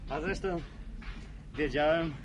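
An actor playing a brash, joking young village man in a radio drama, teasing and excitable, stumbling into his sentences. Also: fairly high pitch, takes it slowly, noisy background. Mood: teasing, cheerful.

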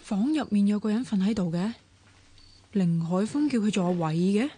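A woman's voice: mid-range voice, animated, moderate pace, dark tone, breathy edge. An actress playing a nosy, anxious young woman muttering to herself in a Cantonese radio drama, with a Hong Kong accent. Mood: shocked realisation. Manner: muttering thoughts aloud to herself. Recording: music under the voice.

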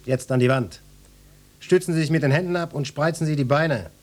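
An actor as a swaggering, low-voiced male police detective. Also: moderate pace, a little mellow, slight background noise, natural voice. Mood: bossy, suspicious. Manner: gruff, bossy, pompous flirtatious address. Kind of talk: German radio drama acting, parodic hard-boiled cop.

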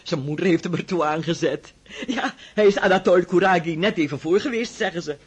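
An actor voicing a bossy, chatty elderly aunt in a radio drama, commanding and teasing with a husky voice. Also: male voice, high-pitched, a little fast. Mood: gossipy.

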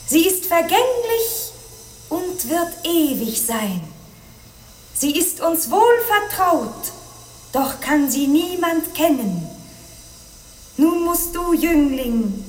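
An actress playing a proud young princess in a German radio play, speaking in a solemn, declamatory manner. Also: female voice, high voice, roomy sound, a little hiss or hum. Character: proud, imperious young Chinese princess posing deadly riddles.

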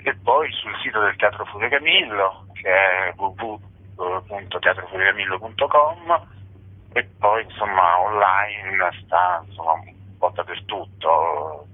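A man with a deep, husky voice talks as an interview guest. He explains in an informal, slightly hesitant way. The sound is a narrow telephone feed on radio. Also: a little slow. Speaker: interview guest, actor and writer. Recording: narrow phone line on radio.

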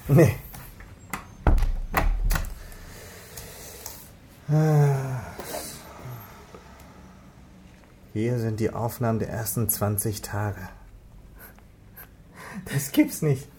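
Young male rookie officer, played by an actor, radio drama: understated, frustrated, middle-pitched, clear background noise. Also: takes it slowly, natural voice. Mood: disappointed. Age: young adult. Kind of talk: naturalistic radio crime drama acting. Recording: clearly noisy ambience behind voice.

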